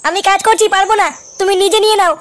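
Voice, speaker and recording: Woman voicing a very high-pitched Bengali daughter-in-law. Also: steady tone, very fast, fairly bright tone, no echo. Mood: curt. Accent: West Bengali. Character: young daughter-in-law, slyly dutiful. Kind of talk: comedy cartoon dubbing.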